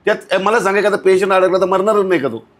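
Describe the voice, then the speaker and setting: A man's voice: high voice, fast. A man speaks as an outspoken guest in a podcast interview. He is blunt and indignant, scolding and throwing out rhetorical questions as he goes. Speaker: outspoken podcast guest commenting on local civic issues.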